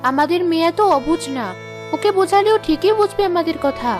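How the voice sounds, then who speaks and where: A woman voicing a caring wife and mother in a cartoon, calm and reassuring. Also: very high voice, fairly quick, over music. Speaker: narrator and mother.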